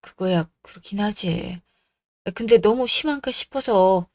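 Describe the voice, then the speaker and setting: A worried middle-aged mother, played by a woman, gentle and hesitant in a radio drama. The voice sits lower and quieter, in a close dry recording. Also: measured pace, no room sound, natural voice. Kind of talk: radio drama story acting.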